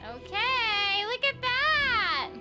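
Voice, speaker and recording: Really high girly woman voice, slow playful gamer stream chatter, music in back. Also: big pitch swings. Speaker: game livestream host, voice actor. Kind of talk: casual livestream chat while playing a game. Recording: game music behind the voice. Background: video game music.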